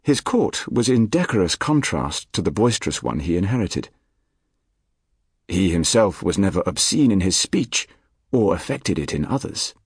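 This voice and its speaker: A man reading a nonfiction audiobook in a measured, articulate, formal manner. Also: low voice, big pitch swings, slightly rough, dry recording, studio quality. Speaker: audiobook narrator. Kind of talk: scripted nonfiction audiobook narration. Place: dry recording studio.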